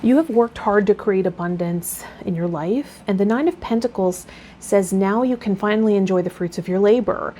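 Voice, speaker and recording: A woman's voice: medium pitch, moderate pace, warm tone. Woman tarot host over background noise. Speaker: tarot reader and channel host. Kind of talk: reading aloud and casual spiritual vlog talk.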